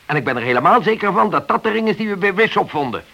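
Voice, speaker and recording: A man acting in a radio play as a stern, accusing senior police inspector who speaks deliberately. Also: sits high, lively intonation, vocal fry, pushed and bright, exaggerated voice.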